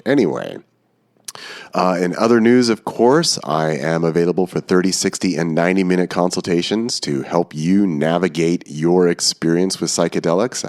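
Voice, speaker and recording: A man with a very low voice hosts a podcast. He talks informally and chattily. There is a slight stuffed-up sound, as if he has a cold. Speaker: podcast host. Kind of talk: casual podcast monologue and interview hosting.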